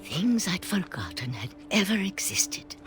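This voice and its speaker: An actress playing a wistful old great-grandmother narrating her memories slowly in a video game, with a creaky, breathy voice. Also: lowish voice, very animated. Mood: wistful, eerie. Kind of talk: slow scripted game narration. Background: game music.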